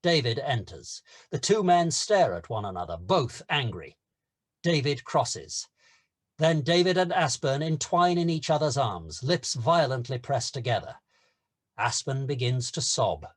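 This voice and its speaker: A man's voice: mid-range voice, expressive, a little slow. A man giving scripted narration, reading stage directions at a screenplay table read.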